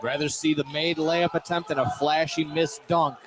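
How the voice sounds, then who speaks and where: A man's voice: on the high side, steady tone, normal speed, over a noisy crowd. A man giving conversational color commentary on a live basketball game from inside a gym. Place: indoor high school basketball gym. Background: faint gym noise.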